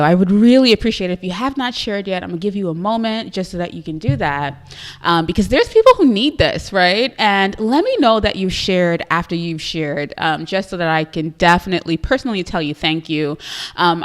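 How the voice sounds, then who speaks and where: A young woman with a Trinidadian accent hosting a live stream show, talking to her viewers in an upbeat, chatty way and often tagging "right?" onto her points. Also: lowish voice. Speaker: host of a live business show.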